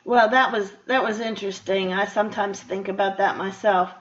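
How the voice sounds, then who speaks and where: A woman's voice: mid-range voice, steady tone, moderate pace. A woman hosting a radio show, interviewing a guest in a casual, chatty and conversational way. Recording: dull, lo-fi radio interview audio.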